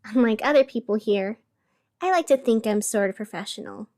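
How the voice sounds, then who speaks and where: An actress playing a wry, wounded young radio host in a scripted audio drama, sardonic and theatrical with deliberate pauses. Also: female voice, on the high side, a little mellow, close to an everyday voice. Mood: tense, curt. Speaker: fictional radio show host.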